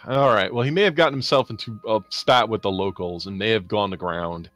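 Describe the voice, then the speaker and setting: A man's voice: lowish voice, moderate pace, a bit bright, dry recording, soft background music. A man playing a tabletop horror game, talking through his character's choices in a casual, musing way with sighs. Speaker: player.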